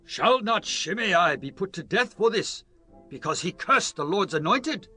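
A man's voice: high-pitched, lively intonation, measured pace, bright, forceful tone, faint music behind, exaggerated voice. A man playing an accusing, vengeful warrior in a scripture audio drama, with declamatory delivery.

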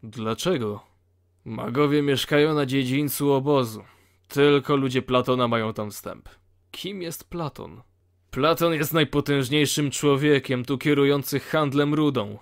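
A man acts a blunt convict from a fantasy penal colony. He has a mid-pitched voice and a dry, sardonic way of talking, as in a game-based audio play. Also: measured pace, natural voice. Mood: blunt, matter-of-fact.

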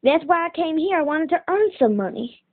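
A woman's voice: very high voice, lively intonation, a little fast. A woman voicing a proud, plain-spoken young cowgirl pony in a cartoon fandub, with a folksy, earnest manner. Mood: ashamed. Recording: tinny screen playback filmed by camera.

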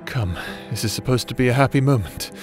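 A man's voice: medium pitch, fairly quick, over loud music, lightly acted. A man playing a doting, villainous necromancer husband in a romantic ASMR audio drama, speaking in a theatrical, formal and tender manner. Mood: tender, relieved.

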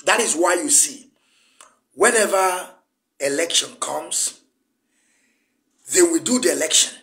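A man's voice: on the high side, animated, a little slow, fairly bright tone. A Nigerian man in his forties whose first language is Igbo, giving an emphatic, lecturing political address to camera from a small room. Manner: emphatic, lecturing, gesturing a lot. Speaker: activist commentator.

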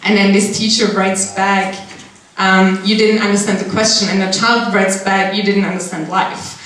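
A woman's voice: mid-range voice, level tone, normal speed, fairly bright tone. A young woman from Austria answers audience questions after her talk, candid and thinking aloud as she goes. Her handheld mic is caught from across a large seminar room, so the sound is distant and strongly echoey, with faint room noise underneath. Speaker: guest speaker. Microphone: handheld mic, far camera.

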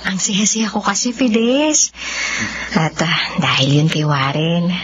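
An actress playing an affectionate young woman in love in a Filipino radio romance drama, speaking softly. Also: on the low side, expressive, slow pace, noisy background. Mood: happy, grateful. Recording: slightly muffled audio.

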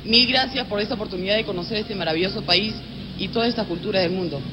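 A woman's voice: mid-range voice, fast talker, very noisy. A young woman from Peru giving a formal greeting and thanks as a pageant contestant. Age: young adult. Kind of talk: formal thank-you speech.

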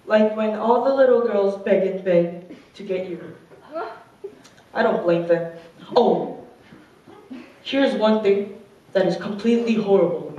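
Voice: medium pitch, a little slow, pauses often, mellow, lo-fi sound, natural voice. A performer playing a vain, overconfident fashion doll woman, boastful and gushing, in a comic stage monologue. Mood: self-pitying, vain. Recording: echoey room, distant mic, faint noise.

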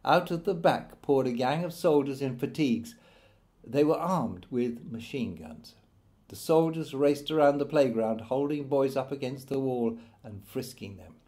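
A man narrating his own written memoir as an audiobook, in a measured storytelling manner. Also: medium pitch, expressive, mellow.

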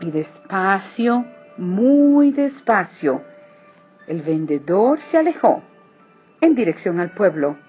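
A woman reads a children's story with dramatic, deliberate phrasing. Her melody swings widely and she takes her time, sounding a bit husky. The recording is slightly muffled with faint music behind. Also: mid-range voice, sing-song, a little mellow. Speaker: storybook reader. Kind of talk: expressive children's story narration.

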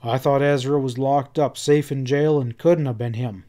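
A man's voice: mid-range voice, fairly even intonation, normal speed, lightly acted. Man playing a weary, troubled Western cowboy. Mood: grave. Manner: quiet, rustic Western speech. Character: weary wounded cowboy Pat, grave, troubled, plain-spoken.

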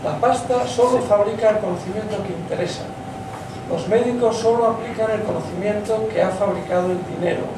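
Blunt, high-voiced Spanish man, echoey pub. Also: brisk. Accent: Spain. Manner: blunt, emphatic, swears. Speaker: audience member, doctor. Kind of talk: impassioned audience comment. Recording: echoey pub from far off, noisy.

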